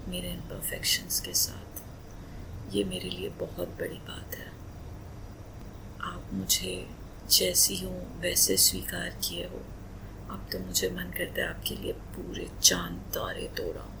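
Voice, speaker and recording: A woman playing a loving, playful wife in a romantic roleplay ASMR, speaking softly and breathily in an intimate, coaxing manner, often slipping into whispers. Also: fairly low pitch, creaky, background noise, full character voice. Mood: tender, earnest. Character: loving, emotional, playful young wife doting on husband.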